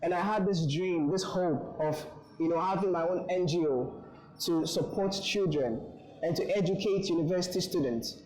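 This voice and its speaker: An earnest young man gives an encouraging speech to a room of graduates. His voice sits a little high and rings around a reverberant hall. He searches for words, with fillers and quick repeats along the way. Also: darkish tone. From Ghana. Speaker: invited speaker. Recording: echoey room.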